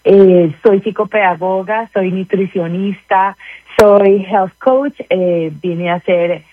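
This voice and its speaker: A woman's voice: mid-range voice, steady tone, takes it slowly, husky, no room sound. A woman in her forties with a Costa Rican accent, a guest on a radio interview, talking gratefully and at length with small restarts. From Costa Rica. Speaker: guest, community wellness manager. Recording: tinny phone line.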